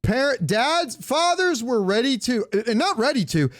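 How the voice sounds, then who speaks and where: A man's voice: extremely high pitch, very animated, measured pace, no room sound, studio quality. A man co-hosting a podcast, joking and telling stories in casual, sweary slang. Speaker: podcast co-host. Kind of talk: casual chat, comic storytelling.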